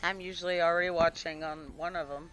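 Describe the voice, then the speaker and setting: A woman streamer with a hoarse voice, chatting casually with viewers and a co-player while gaming. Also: on the low side, level tone, fast, fairly bright tone, soft background music, low-quality recording. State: hoarse, recovering lost voice.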